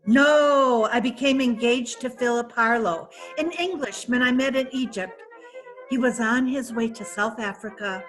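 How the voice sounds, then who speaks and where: A woman playing a vain, flirtatious wealthy widow in an amateur stage reading, laughing often and speaking in a slow, theatrical way. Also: a little high, fairly bright tone, soft background music, poor audio. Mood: boastful. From San Francisco. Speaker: reader in a play reading. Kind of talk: amateur readers' theatre acting.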